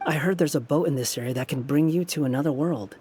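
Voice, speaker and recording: A man's voice: fairly high pitch, fast, mellow, slight background noise, natural voice. A male actor playing an aloof, irritable young hero in a video game, speaking with dismissive sarcasm. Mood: weary. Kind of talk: English video game voice acting. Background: game music.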